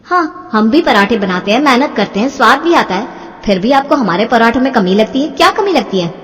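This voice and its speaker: A woman's voice: a little high, expressive, fairly quick, a bit bright. A woman voicing an earnest, put-upon young daughter-in-law in a moral-story cartoon. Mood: hurt, questioning. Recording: long hall-like reverb.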